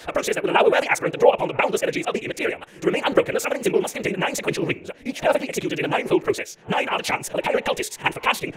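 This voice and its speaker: High-pitched, pedantic daemon sorcerer. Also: male voice, recorded from a distance. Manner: verbose, declamatory. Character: pedantic, grandiose male sorcerous daemon lecturing fervently. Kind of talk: comic dub voice acting.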